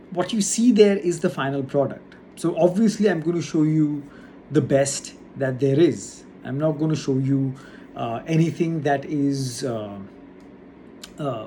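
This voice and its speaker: A YouTuber from India talking earnestly and casually to his audience, with a measured pace and frequent "uh" fillers. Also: fairly high pitch, mellow, slight background noise. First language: not Hindi; English not first noted. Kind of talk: casual talk to camera. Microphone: studio setup.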